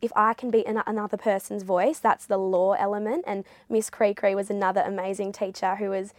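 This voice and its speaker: A young woman speaks with earnest, fluent passion. Her voice sits at an ordinary middle pitch. The recording is dry and close, with no room sound. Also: little pitch movement, fairly quick, a little mellow, no room sound. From Australia. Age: young adult. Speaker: guest. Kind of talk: earnest podcast interview.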